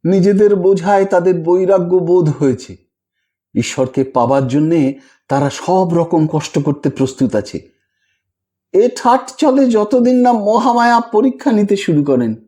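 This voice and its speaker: Man voicing a slow, gentle holy woman. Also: sits high. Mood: wistful, reflective. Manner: calm, reflective, softly admonishing. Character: gentle, wise, melancholy female wandering ascetic.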